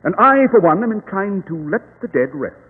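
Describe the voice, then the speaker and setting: An actor in a 1940s radio drama playing a shrewd, self-assured English detective, brisk, commanding and precise. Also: male voice, sits high, very animated, husky, exaggerated voice. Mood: grave, assured. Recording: tinny, noisy old radio recording, reverberant.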